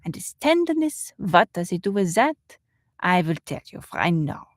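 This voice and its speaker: A woman's voice: lowish voice, big pitch swings, normal speed, no room sound, studio quality. Woman acting emphatic German waiter, deadly earnest. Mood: earnest disgust. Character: disgusted, earnest German male waiter, lecturing. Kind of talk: audiobook character voice.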